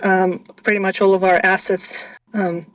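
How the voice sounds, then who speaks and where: Woman guest in her 30s, from Russia, level even delivery, dry close sound; measured storyteller. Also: mid-range voice, no room sound, recorded from a distance, low-quality recording. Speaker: guest, venture investor. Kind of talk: casual interview chat.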